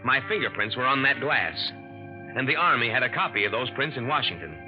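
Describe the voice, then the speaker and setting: A man's voice: mid-range voice, animated, a little fast, lightly acted. A man playing a clever, nervous young impostor who narrates in a tense, confiding, hard-boiled way. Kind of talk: first-person radio drama narration. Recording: muffled 1950s radio drama with music.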